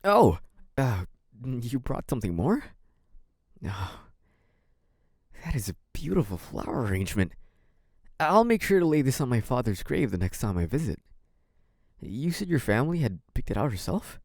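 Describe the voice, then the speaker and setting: Man acting a young mafia heir, creaky and expressive. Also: medium pitch, moderate pace, long pauses, dry recording, clean studio sound. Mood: nervous, polite. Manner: hesitant and apologetic act, turning smug and taunting. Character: young mafia heir, feigned naive politeness hiding cunning, possessive menace. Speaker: audio roleplay performer. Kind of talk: dramatic first-person audio roleplay.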